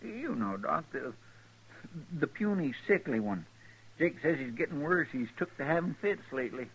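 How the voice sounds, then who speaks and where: A deferential, folksy frontier helper in a 1950s radio western. His voice is husky, with a plain rustic way of talking. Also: fairly high pitch, sing-song, moderate pace, fairly bright tone, heavily acted, rough recording. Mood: earnest.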